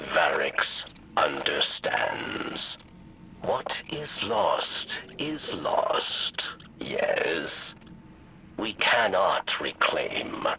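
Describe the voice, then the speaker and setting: Deep, gravelly old alien exile. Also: male voice, background noise, exaggerated voice. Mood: resigned, solemn. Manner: halting third-person speech in broken phrases. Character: weary old alien insectoid exile, mournful, reflective. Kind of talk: scripted dramatic monologue for a video game.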